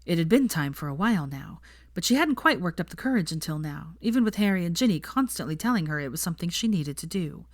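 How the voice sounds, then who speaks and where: A woman narrating a story in a measured, even reading. Her voice sits on the low side and sounds dry and close. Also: no room sound. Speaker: fan fiction reader.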